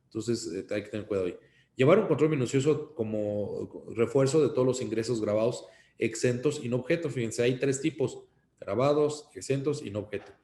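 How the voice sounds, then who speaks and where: A man with a Mexican accent giving an informal instructional talk as an accountant, explaining things in a cautionary, halting way. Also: medium pitch, dark tone. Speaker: accountant giving tax advice. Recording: roomy, echoing sound.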